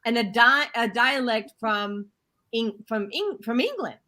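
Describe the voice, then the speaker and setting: A woman's voice: on the high side, lively intonation, a little slow, sharp tone. A woman hosting a talk radio show, chatting casually with her guest and rambling with frequent restarts. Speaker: host.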